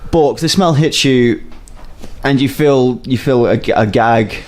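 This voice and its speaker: A man's voice: medium pitch, a little slow, darkish tone. A man running a tabletop game as game master, narrating scenes with a dry, joking delivery.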